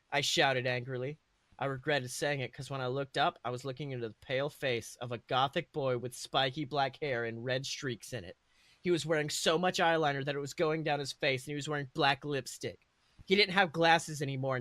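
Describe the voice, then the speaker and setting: A man's voice: mid-range voice, a bit bright, no echo. A man hosting a podcast, reading fan fiction aloud in an amused, theatrical, mocking way. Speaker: podcast host.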